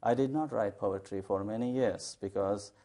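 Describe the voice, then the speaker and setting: A man from Bangladesh, an English professor and poet, answering questions in a TV studio interview in a measured, thoughtful way with many fillers. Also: on the low side, warm tone. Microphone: lapel.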